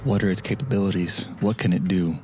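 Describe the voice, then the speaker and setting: A man playing a stern, commanding military leader, grave and deliberate, giving battle orders in an audio drama game. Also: low-pitched, steady tone, a little mellow, a little hiss or hum, natural voice.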